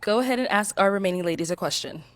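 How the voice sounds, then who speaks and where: A woman hosting a dating show, asking brisk, upbeat questions and announcing guests. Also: mid-range voice, dry recording.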